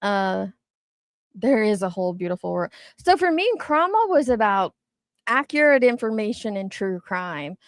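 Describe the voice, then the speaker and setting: A woman's voice: mid-range voice, very animated, moderate pace, no echo, clean studio sound. A woman giving casual, candid commentary as an online show host, speaking emphatically and swearing mildly.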